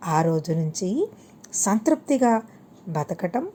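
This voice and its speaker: A woman narrating a scripted moral tale for children in measured, separate phrases. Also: lowish voice, sing-song, mellow. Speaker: storyteller.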